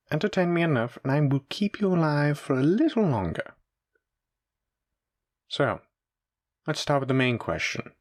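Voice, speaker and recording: A man's voice: mid-range voice, no room sound, clean studio sound. A man playing a suave, menacing mafia boss in a roleplay audio drama, with a theatrical, teasing and condescending manner. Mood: coolly menacing. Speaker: voice actor. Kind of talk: scripted roleplay audio drama addressed to listener.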